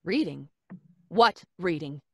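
A woman's voice: on the low side, expressive, slow pace, gravelly, a little mellow, slightly rough, dry recording, clean studio sound, full character voice. A woman playing a concerned, questioning, soothing family doctor in an old-time radio horror play. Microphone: studio condenser microphone.

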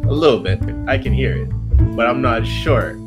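Young man, Jamaican accent, Creole native speaker, relaxed explainer, headset mic, music underneath. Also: mid-range voice, normal speed. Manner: relaxed, explains at length with restarts. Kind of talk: casual video chat. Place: dim indoor room. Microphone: headset on video call.

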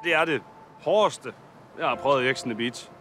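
A man's voice: mid-range voice, sharp tone. A young man on a reality show, chatting bluntly and wryly on a tropical beach, swearing casually. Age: young adult. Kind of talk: casual chat and confessional interview. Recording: reality TV with music underneath. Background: music bed.

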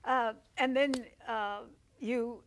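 Older woman, talk show host, animated, leading questions, lapel mic, studio. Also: fairly high pitch, takes it slowly, a little hiss or hum. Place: TV studio set with living room decor.

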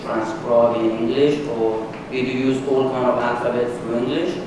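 A man's voice: mid-range voice, level tone, normal speed. A man from Iran, asking a lecturer questions from the audience, often rephrasing as he goes. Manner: searching, rephrasing his questions. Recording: echoey hall from far off, background noise.

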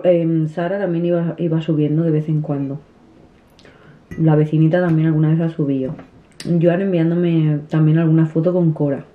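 A woman in her thirties, a family vlogger, chatting casually to the camera while eating at a table at home. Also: low-pitched. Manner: chatty, restarts often, soft. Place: small room at a dinner table, indoors. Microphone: camera on the table at close range. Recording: tinny, phone-like sound.

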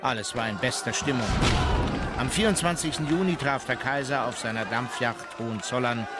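An actor as a formal mid-pitched admiral. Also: male voice, loud music behind the voice, a loud crowd, natural voice. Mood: sober, factual. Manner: measured, formal recounting. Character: German admiral, sober, dutiful memoirist of the Kaiser's court. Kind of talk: documentary radio drama narration.

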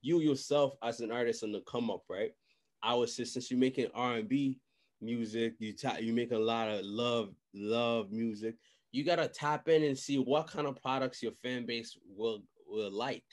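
Young guy chatting advice, slangy, animated, repeats himself a lot, medium pitch. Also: moderate pace. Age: young adult. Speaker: podcast host and music industry adviser. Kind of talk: casual chat, giving advice. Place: small indoor room, video call. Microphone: desk microphone, close.

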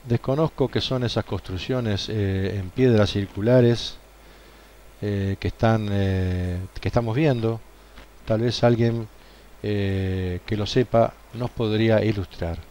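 A man leads a walking tour through outdoor ruins, speaking slowly and deliberately with frequent pauses. His voice sits fairly low. A faint outdoor ambience runs behind him on a camera mic. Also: fairly even intonation, mellow, slight background noise. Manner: hesitant, many "eh" fillers, slow and deliberate. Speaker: tour guide. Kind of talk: unscripted walking tour commentary.

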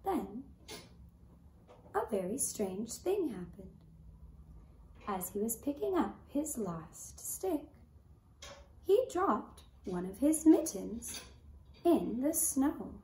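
A woman in her thirties narrating a children's story for a puppet show, with gentle, deliberate phrasing, recorded from a camera in a room. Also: a little high, big pitch swings. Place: indoor puppet stage in a decorated room. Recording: roomy echo, clear background noise.

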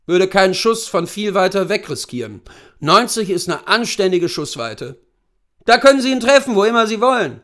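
Man playing a clipped, high-pitched gruff safari hunter. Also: big pitch swings, moderate pace, a bit bright. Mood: brisk, commanding. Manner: clipped, terse, commanding. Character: gruff, seasoned, laconic male big-game hunter and guide.

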